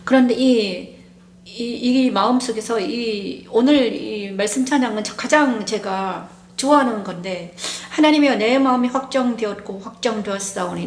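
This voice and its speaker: A woman pastor explains scripture in an informal devotional way, halting often as she goes. Her throat sounds sore and strained, and the room adds a clear echo. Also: fairly high pitch. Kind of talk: devotional Bible exposition, informal. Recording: roomy echo.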